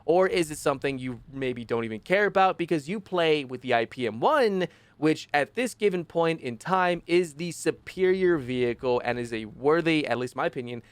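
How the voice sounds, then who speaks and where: A man's voice: on the high side, expressive, moderate pace, clear, resonant tone, no echo. Phlegmy-voiced man, dry close mic. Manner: rambling, self-correcting, opinionated. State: phlegmy, rough voice after illness. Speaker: gaming video host. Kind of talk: casual commentary on gameplay.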